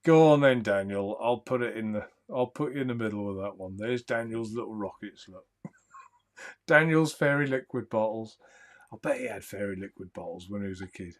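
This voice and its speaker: A man hosting a live stream, chatting in a calm, soothing, easygoing way and reading out viewer comments. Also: lowish voice, fairly quick. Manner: rambling, easygoing, chuckles often. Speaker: livestream host.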